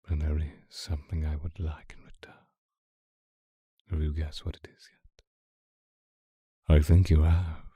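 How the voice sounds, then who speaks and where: An extremely low, dark-toned male vampire, acted by a man, murmurs seductively right at the microphone in an ASMR roleplay. His voice is very breathy and husky, often sinking to a whisper. He is possessive and teasing, taking his time with each phrase. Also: dry recording, exaggerated voice. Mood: sly, menacing. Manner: slow, teasing, deliberate. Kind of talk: intimate ASMR roleplay monologue addressed to listener. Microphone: close microphone, dry.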